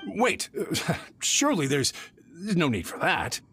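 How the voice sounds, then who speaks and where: An actor voicing a greedy, nervous foreign merchant in a video game, haggling and wheedling. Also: male voice, fairly high pitch, very animated, takes it slowly, fairly bright tone, slightly rough, heavily acted. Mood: pleading. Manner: haggling, then pleading.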